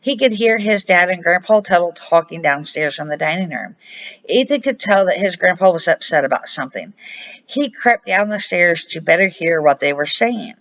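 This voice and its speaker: A woman's voice: fairly low pitch, animated, moderate pace, a bit bright. An older woman reading a children's storybook aloud as an amateur narrator. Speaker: amateur storybook reader, a grandmother. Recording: dull lo-fi home recording.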